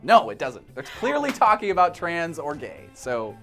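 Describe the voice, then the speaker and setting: A man's voice: fairly high pitch, wildly swooping pitch, moderate pace, a bit bright, faint music behind. A young man, bantering casually in a blunt, sarcastic way. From Minnesota. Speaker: vlogger.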